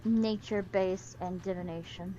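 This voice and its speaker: A woman playing a tabletop game, chiming in with brief, quiet remarks. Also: medium pitch, steady tone, normal speed, muffled recording, slight background noise. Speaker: player.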